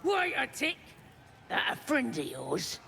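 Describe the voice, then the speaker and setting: A high, gravelly goblin voice, bullying and impatient. It is bright and forceful, barking and sneering as in a fantasy game, over faint noise. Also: male voice, huge pitch swings, takes it slowly, exaggerated voice. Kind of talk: fantasy game creature acting.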